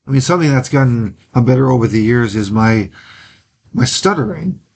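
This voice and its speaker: Earnest young man, halting speech. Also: medium pitch, animated, vocal fry, a little mellow. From Wisconsin. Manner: earnest, halting, with frequent pauses and restarts. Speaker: interview guest. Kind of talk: casual interview talk.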